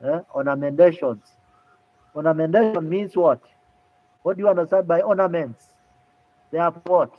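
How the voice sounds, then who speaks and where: A man lecturing to students, explaining things deliberately and repeating key points. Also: fairly high pitch. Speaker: music lecturer. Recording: tinny phone-like audio.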